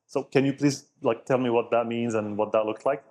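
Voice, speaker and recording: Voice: mid-range voice, fairly even intonation, brisk, a little mellow. A podcast host introducing the show and asking a guest friendly questions. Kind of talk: interview hosting.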